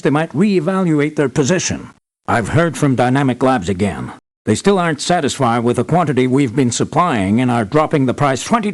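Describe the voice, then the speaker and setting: An actor playing an anxious older man, an island boss and devoted father, in an earnest, measured video game performance. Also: mid-range voice. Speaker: employer briefing hired mercenaries. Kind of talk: scripted video game voice acting.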